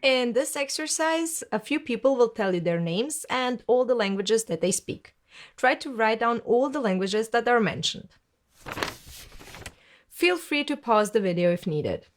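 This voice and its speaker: A woman's voice: on the high side, expressive, a little slow. A woman teaching a recorded language lesson, speaking carefully and repeating each word for learners.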